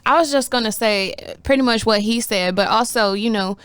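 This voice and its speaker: A woman's voice: a little high, normal speed, fairly bright tone. A young woman, a podcast guest, speaking briefly and a little hesitantly. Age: young adult. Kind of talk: casual discussion.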